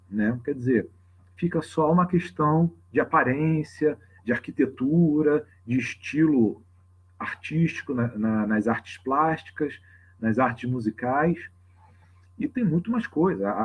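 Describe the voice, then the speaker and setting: Husky Brazilian man explaining informally. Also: a little high. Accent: Brazilian Portuguese. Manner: conversational, frequent tag questions. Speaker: religious teacher hosting a live open class. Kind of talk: informal extemporaneous explanation to a livestream audience. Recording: slightly dull lo-fi livestream audio.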